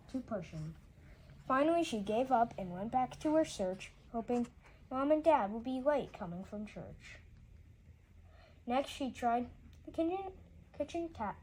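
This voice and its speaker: A woman's voice: high-pitched, darkish tone, slight background noise, poor audio. A woman reading a rhyming children's story aloud, often stumbling and repeating words as she goes.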